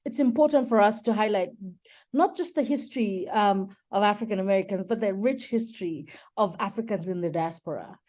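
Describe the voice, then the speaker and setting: A woman's voice: medium pitch, dark tone. A woman giving an explanatory webinar presentation as a guest speaker, articulate and persuasive. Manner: articulate, persuasive, poses rhetorical questions. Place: online webinar.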